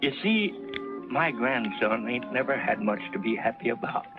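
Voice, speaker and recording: A man's voice: mid-range voice, expressive, normal speed, dull audio, over music, natural voice. Man as elderly American frontier grandfather, folksy, ashamed and insistent, old TV western, prominent score. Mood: regretful. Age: older. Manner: folksy, rustic speech.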